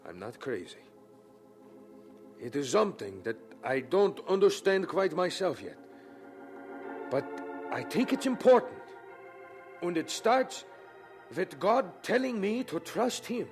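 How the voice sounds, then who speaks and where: An actor playing an earnest, devout young German theology student with a German accent, speaking fervently and deliberately in a radio drama. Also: male voice, high voice, big pitch swings, soft background music, exaggerated voice. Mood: earnest conviction.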